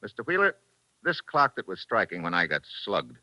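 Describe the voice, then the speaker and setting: An actor playing a tough, wry American investigator in a clipped, matter-of-fact 1940s radio drama style. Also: male voice, on the low side, very animated, moderate pace, pushed and bright, dry recording, heavily acted. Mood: confident, accusing. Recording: muffled vintage 1940s radio recording.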